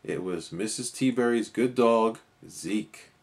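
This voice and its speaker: A man's voice: fairly low pitch, takes it slowly. A man narrating a children's story expressively as a storyteller.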